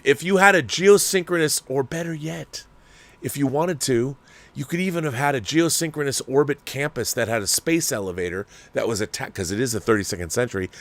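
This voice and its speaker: An older man who hosts his own channel, giving a rambling, opinionated rant in a casual, animated way. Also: a little high, dry recording. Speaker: channel host. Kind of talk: ranting commentary.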